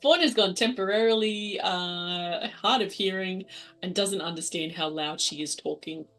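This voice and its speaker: A woman's voice: lowish voice, normal speed, fairly bright tone, rough recording. A woman playing a tabletop roleplaying game, talking in a casual, playful way. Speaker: player.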